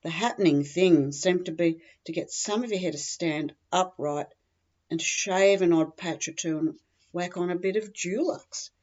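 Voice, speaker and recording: A woman's voice: on the low side, normal speed, a little mellow, highs cut off. A woman with an Australian accent reading a scripted humorous first-person story in a dry, matter-of-fact comic way. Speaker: humorous writer reading their own story.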